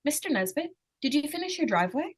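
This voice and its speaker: Woman playing a high, lively, inquisitive little girl. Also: fast talker. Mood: wary, innocent. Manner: hesitant, artless, persistently questioning. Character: inquisitive young girl, innocent-seeming, probing, easily frightened. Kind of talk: 1940s radio suspense acting.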